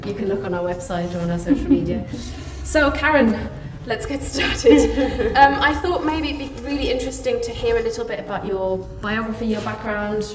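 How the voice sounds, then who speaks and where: A woman's voice: on the high side, moderate pace, hardly any pauses. A woman chairing a gallery talk, introducing it in an informal, chatty way. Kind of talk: informal spoken introduction to an audience. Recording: echoey room, distant mic, noisy.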